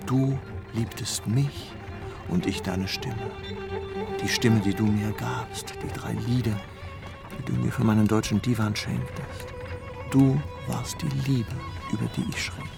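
An actor playing a wistful, grumbling poet of nearly seventy in a German radio drama, sighing and musing aloud theatrically. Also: male voice, medium pitch, unhurried, creaky, music in the background. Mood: tender, yearning.

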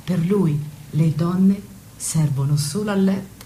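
A woman's voice: fairly low pitch, a little slow, dark tone, a little hiss or hum, lightly acted, rough recording. A woman playing a worldly, sardonic married attendant to a noblewoman in a radio drama. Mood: teasing, bitter. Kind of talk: stage-style radio drama acting.

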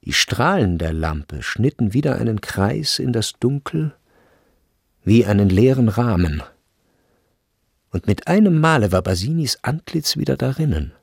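A man's voice: mid-range voice, sing-song, unhurried, vocal fry, husky, no echo, clean studio sound, heavily acted. A man narrating a literary radio drama in a sober, scripted manner.

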